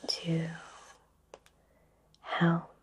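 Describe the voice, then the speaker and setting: A woman whispering breathily in a soothing, reassuring way with long pauses, guiding an ASMR Reiki session. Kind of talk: whispered ASMR personal attention.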